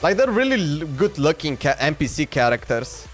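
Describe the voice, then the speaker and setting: A man's voice: fairly high pitch, sing-song, moderate pace. A man livestreamer chatting casually in a slangy, exclamatory way as he reacts, often repeating himself. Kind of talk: casual reaction stream chat. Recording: background music under voice. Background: music.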